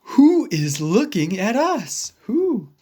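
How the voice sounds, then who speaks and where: A man voicing a big, naive, anxious male elephant in an animated picture-book read-aloud, earnest and easily astonished. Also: high-pitched, takes it slowly, full character voice. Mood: puzzled.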